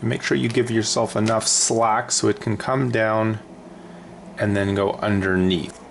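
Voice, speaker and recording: A man's voice: lowish voice, level tone, measured pace, creaky, a little hiss or hum. A man talking casually and unscripted through a hands-on how-to as an aviation vlogger.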